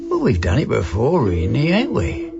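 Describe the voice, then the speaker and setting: An actor playing a playful, stubborn old working-class husband in a radio drama. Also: male voice, medium pitch, wildly swooping pitch, normal speed, vocal fry. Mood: wry. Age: older. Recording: muffled radio drama, faint music.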